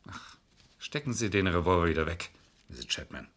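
A controlled, calculating defence lawyer in a seventies radio play. His voice sits low and has a husky grain. He speaks formally and drives points home with lively, emphatic swings. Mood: firm, formal. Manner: formal, insistent, deliberately emphatic. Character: shrewd, controlled male defence lawyer pressing his case. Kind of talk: 1970s German radio drama acting.

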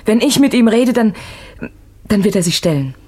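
Distraught and insistent, pleading, husky, pitch leaping all over, old radio drama. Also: female voice, lively intonation, normal speed, darkish tone. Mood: pleading, determined. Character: distraught pleading woman, determined to help a fugitive. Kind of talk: German radio crime drama acting.

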